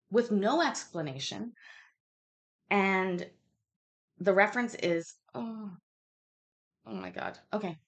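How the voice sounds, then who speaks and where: A woman's voice: medium pitch, gravelly. A woman in her thirties hosting a casual livestream quiz, reading questions aloud and chatting candidly, swearing and interrupting herself. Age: 30s. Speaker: host of a live online trivia chat.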